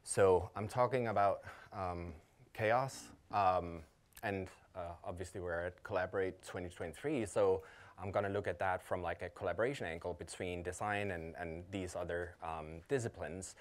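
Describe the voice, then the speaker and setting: A man in his thirties from Denmark, speaking English as a second language, gives a conference talk. His voice sits very low, and he talks in a loose, casual way, full of ums and self-corrections. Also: steady tone, normal speed. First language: Danish. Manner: casual, many fillers and restarts. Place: conference hall.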